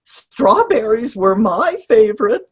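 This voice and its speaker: Prim, forgetful elderly homebody, played by a woman: high swooping pitch, halting, giggly, stage comedy reading. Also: very animated, slightly rough, full character voice. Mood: mild, hesitant. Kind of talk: virtual play reading, comedy. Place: video call.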